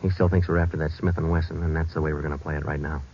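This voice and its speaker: An American actor playing a laconic, methodical police detective who narrates in a clipped, deadpan 1940s radio drama style. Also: male voice, a bass voice, racing, slight background noise. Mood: matter-of-fact. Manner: deadpan, clipped, matter-of-fact. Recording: muffled vintage radio broadcast with music.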